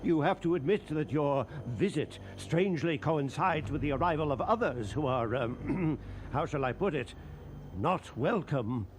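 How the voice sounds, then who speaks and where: Suspicious, insinuating cartoon councillor, highish voice. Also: male voice, lively intonation, a little hiss or hum. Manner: pointed, measured, insinuating. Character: suspicious, smooth-spoken council member of rebel band. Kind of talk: animated series acting.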